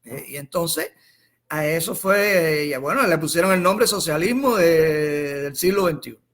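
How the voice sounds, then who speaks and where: A Cuban man with a high-set, sharp and bright voice talks informally as a political commentator on a live stream. He explains his points in a halting way, doubling back on words and phrases and asking the listener rhetorical questions. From Cuba.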